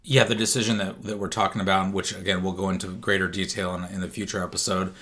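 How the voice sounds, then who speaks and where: A man's voice: low-pitched, level tone, quick pace, bright, forceful tone. A man co-hosting a podcast, easygoing, restarting phrases as he talks. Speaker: podcast co-host. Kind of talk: casual podcast talk.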